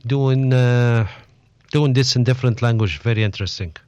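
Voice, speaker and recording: A man interviewing casually as a radio presenter, with halting, phrasing. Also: medium pitch, a little mellow, clear, resonant tone, no echo. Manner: halting, non-native phrasing. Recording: muffled, dull, dry close recording.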